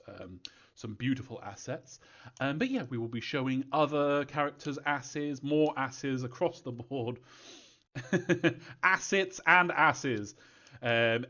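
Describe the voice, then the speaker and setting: Halting man in dull lo-fi audio. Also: mid-range voice, a bit bright. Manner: hesitant, halting delivery with frequent ums. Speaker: game developer hosting a fan Q&A. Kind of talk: casual, unscripted Q&A talk.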